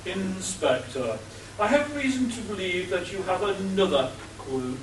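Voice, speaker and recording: A man playing a long-suffering butler in an amateur radio play, formal and deadpan. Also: high-pitched, a little slow. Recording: roomy, distant, audible hiss.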